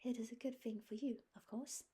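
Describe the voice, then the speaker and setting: A woman's voice: on the high side, fairly even intonation, a little fast, mellow, voice pushed up. A woman reading an audiobook voices a dreamy, eager young woman who speaks breathily and often drops to a whisper. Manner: breathy, rapturous, at times whispering. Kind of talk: audiobook character voice.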